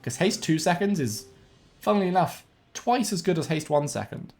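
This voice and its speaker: A young man streaming a card game from home, thinking aloud in a relaxed way and correcting himself, sounding a bit sniffly and bunged up. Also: on the high side, moderate pace. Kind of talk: gameplay commentary. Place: home room with webcam. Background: faint game music.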